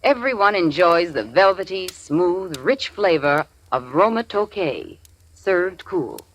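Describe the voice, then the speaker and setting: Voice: mid-range voice, lively intonation, takes it slowly, a bit bright, low-quality recording. Genteel voice in an old wine ad. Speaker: commercial spokesperson. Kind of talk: 1940s radio commercial.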